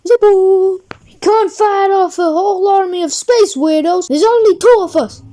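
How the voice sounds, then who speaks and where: A woman's voice: extremely high pitch, normal speed, mellow, voice pushed up. A woman reading a storybook aloud, voicing a grumpy, sarcastic little kid in a raised, whiny voice.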